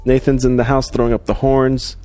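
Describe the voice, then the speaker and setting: A man's voice: mid-range voice, little pitch movement, fairly quick, mellow, faint music behind. A man who grew up in Australia, hosting a casual livestream chat, talking loosely with plenty of fillers and restarts. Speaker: host.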